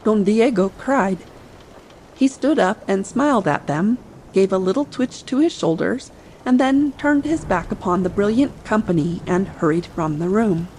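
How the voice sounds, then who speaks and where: Woman reading a bedtime audiobook story, medium pitch, soft sea ambience noise in the back. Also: a little slow, rough recording. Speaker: narrator. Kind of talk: scripted audiobook narration for sleep listening. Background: ambient sea sound bed.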